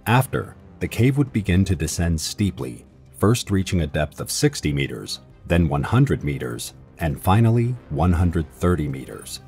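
Very deep-voiced male documentary narrator. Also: sing-song, normal speed, a little mellow, faint music behind.

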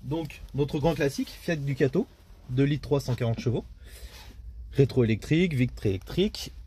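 Mellow-voiced Frenchman showing a camper van. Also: medium pitch, moderate pace, breathy edge. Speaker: camper van dealer presenting a vehicle. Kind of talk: casual product walkthrough. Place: inside a small camper van.

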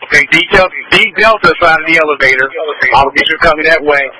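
A man's voice: a little high, fast talker, a loud crowd. An American man acting as incident commander over emergency radio, giving terse orders and repeating instructions. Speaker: fire department incident commander. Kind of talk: emergency radio dispatch traffic. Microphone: two-way radio. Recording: noisy fire department radio transmission.

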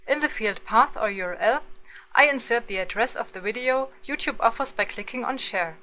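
A woman reading scripted screencast narration as a tutorial narrator, in a measured, instructional manner. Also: fairly high pitch, sharp tone, a little hiss or hum.

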